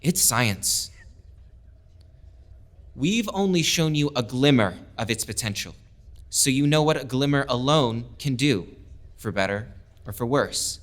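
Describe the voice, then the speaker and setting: A man's voice: mid-range voice, expressive, a little slow, pauses often, a bit bright. An actor playing an earnest young male lieutenant, a proud outsider making his case in an audio drama. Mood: earnest, rousing. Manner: impassioned, oratorical. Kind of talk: full-cast audio drama speech.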